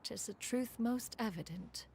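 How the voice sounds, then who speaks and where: An actress playing a proud, aloof immortal crane mentor in a video game, speaking formally and archaically and calling herself "one". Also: female voice, fairly high pitch, unhurried, darkish tone, slight background noise. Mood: tender, stately. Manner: formal, archaic speech referring to self as one. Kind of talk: scripted video game story dialogue.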